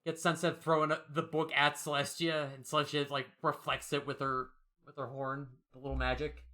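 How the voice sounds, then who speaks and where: A man's voice: on the high side, level tone, normal speed, bright, forceful tone. A man co-hosting a casual comic discussion, riffing playfully as he goes. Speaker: co-host. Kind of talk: casual comic commentary chat.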